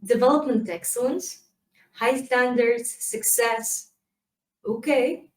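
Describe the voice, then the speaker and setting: A woman with a slightly high voice gives a lively, casual conference presentation, chatty and full of fillers. She is heard from a distance in a roomy space through a laptop mic. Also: slow. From Kazakhstan. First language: Kazakh. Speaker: community manager giving a talk.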